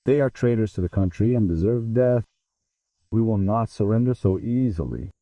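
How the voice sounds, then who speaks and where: A man's voice: fairly low pitch, animated, normal speed, dark tone, no echo, far from the mic. A man reading a scripted emergency broadcast announcement in a formal, grave manner over a tinny, phone-like channel.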